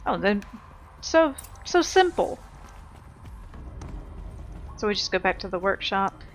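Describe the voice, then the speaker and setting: A woman's voice: medium pitch, sing-song, slow. A woman giving casual, unscripted let's play commentary in a blunt, sweary, opinionated manner. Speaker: gaming video host.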